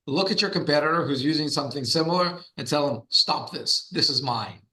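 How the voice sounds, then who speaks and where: A man's voice: fairly high pitch, brisk, pushed and bright. A middle-aged man with a Russian accent, a guest on an interview podcast, talking at length with many fillers and restarts. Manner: storytelling, frequent fillers and restarts. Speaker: guest, trademark firm founder.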